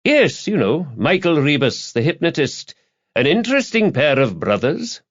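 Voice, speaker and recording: A man acts out a sly male reporter from a crime audiobook, fishing for information. The voice sits up high and moves about in animated, needling turns. The recording is slightly dull. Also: moderate pace. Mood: insinuating. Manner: insinuating, conversational, fishing for information.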